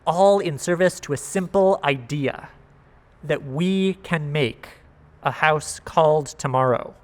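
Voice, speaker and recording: A man reads poetry aloud in a voice a little higher than most men's. He goes very slowly and measures out each short phrase, with pauses between them. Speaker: guest reader of a poem. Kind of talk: poetry reading at a worship service.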